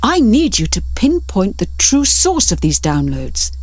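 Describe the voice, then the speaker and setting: A woman playing a brave, quick-witted investigator in an audiobook, firm and emphatic. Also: mid-range voice, huge pitch swings, no room sound. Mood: decisive.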